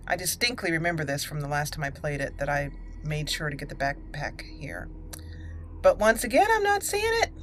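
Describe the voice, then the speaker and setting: A woman's voice: fairly low pitch, a little hiss or hum. A woman giving casual let's play commentary, thinking aloud in a chatty way as she plays. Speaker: game streamer narrating a roleplay playthrough.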